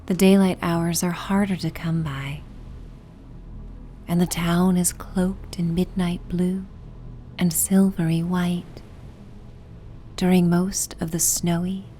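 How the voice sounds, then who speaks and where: Slow, soft, breathy woman guiding sleep. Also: on the low side. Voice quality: soft, breathy, half-whispered. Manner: gentle, soothing, unhurried. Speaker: sleep meditation guide and narrator. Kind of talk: soothing guided sleep meditation, ASMR bedtime story. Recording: steady ocean and wind ambience noise. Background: ocean and wind ambience.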